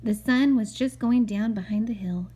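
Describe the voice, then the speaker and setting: A woman's voice: on the high side, moderate pace, mellow, breathy edge, low-quality recording. A woman reading a picture book aloud to a child as a mother, expressively, with chatty asides and questions.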